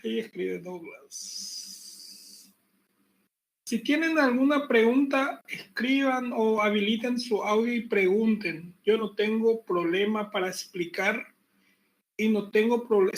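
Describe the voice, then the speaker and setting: A man teaching an online university class, relaxed and chatty, repeating his points and joking with the students. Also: high-pitched, unhurried, poor audio. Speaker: university pathology lecturer. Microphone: computer mic on a video call.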